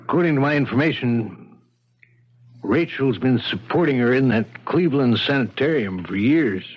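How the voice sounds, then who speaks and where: Tough, shrewd male cop, low-pitched husky voice, deliberate authority, vintage radio drama, muffled. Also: lively intonation. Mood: casual, probing. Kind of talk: 1970s radio drama acting. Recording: muffled 1970s radio broadcast.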